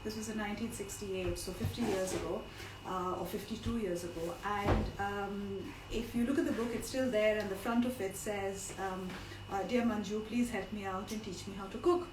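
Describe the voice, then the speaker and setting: A woman's voice: mid-range voice, steady tone, normal speed, far from the mic. A middle-aged woman from India teaches a cooking class on a live stream, chatting as she explains. Her voice is partly lost. She sounds set back from the mic in a roomy kitchen, with some background noise. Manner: chatty, digressive instruction with asides. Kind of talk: informal live cooking demonstration.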